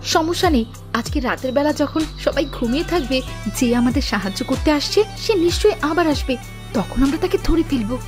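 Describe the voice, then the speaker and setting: A high-pitched, kind and excitable young wife of a poor tailor acted by a woman. She belongs to a storybook cartoon, with light music playing underneath. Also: a little fast. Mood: eager, surprised. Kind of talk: storybook cartoon narration and acting.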